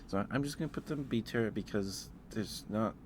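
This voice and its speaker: A man with a low-pitched voice hosts a casual ASMR stream. He speaks softly and hesitantly, rambling as he thinks aloud. There is clear background noise on the recording. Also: a little fast. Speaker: livestream host. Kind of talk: casual ASMR livestream chat, ranking aloud.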